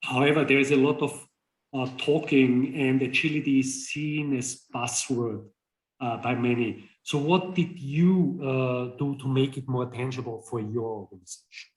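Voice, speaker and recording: A middle-aged man hosting a podcast interview, speaking in a measured, semi-scripted way with hesitant pauses. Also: mid-range voice, fairly even intonation. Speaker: podcast host, consultant. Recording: roomy, echoey sound.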